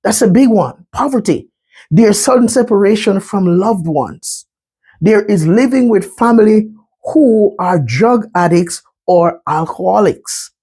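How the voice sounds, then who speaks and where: Slow, husky Jamaican man presenting. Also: sits high, animated, dark tone. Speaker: mental health speaker. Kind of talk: slide presentation talk.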